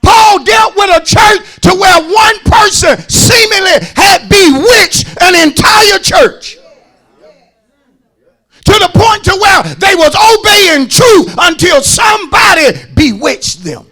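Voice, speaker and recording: An American man preaching a fervent sermon as a pastor, raspy and gravelly, folksy and emphatic, repeating phrases and swinging from hushed asides to shouting. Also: extremely high pitch, animated, slow.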